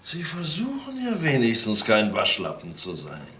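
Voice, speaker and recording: A brutal middle-aged father in a crime radio play. He taunts and threatens in a forceful, widely swinging voice, recorded in a roomy space. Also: medium pitch, huge pitch swings, normal speed, slight background noise. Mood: mocking. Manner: menacing, taunting, coarse.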